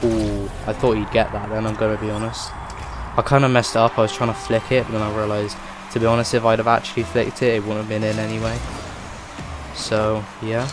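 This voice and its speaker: Man with a cold, flat voice. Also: on the low side, a little fast, music in the background. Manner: rambling, chatty, many "like" fillers. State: voice rough from a cold. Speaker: gaming channel host. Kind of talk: casual gameplay commentary.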